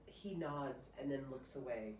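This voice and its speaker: A woman's voice: a bass voice, a little slow. Woman reading a storybook, roomy distant sound. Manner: expressive, stops to comment informally. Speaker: storybook reader. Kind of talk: reading a children's picture book aloud with casual asides. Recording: roomy, distant mic, faint background noise.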